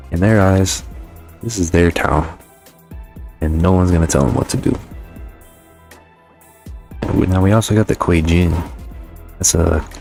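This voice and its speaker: A man's voice: low-pitched, creaky, a little mellow. A man giving a casual, conversational video game review voice-over in slangy, sometimes profane language.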